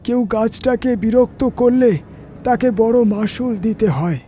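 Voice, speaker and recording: A man acts out an elderly village man from a horror audio story. The voice is pitched high yet very muted and soft-edged, moving slowly through grave, ominous warnings. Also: slight background noise. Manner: slow, grave, cautioning.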